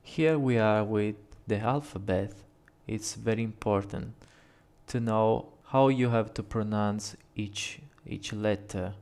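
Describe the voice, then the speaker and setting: Italian guy teaching a lesson, super slow, careful, lowish voice. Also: darkish tone. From Italy. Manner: measured, carefully spelled out, reads dialogue lines aloud. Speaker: language teacher. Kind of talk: slow scripted language lesson.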